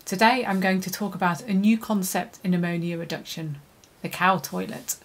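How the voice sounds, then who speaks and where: A middle-aged woman with a lowish voice talks through a scripted lesson to camera, steady and clear. She speaks as an environmental consultant, recorded close up in a quiet indoor studio. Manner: measured, clear, steady delivery. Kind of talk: scripted educational presentation. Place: indoor studio, plain background.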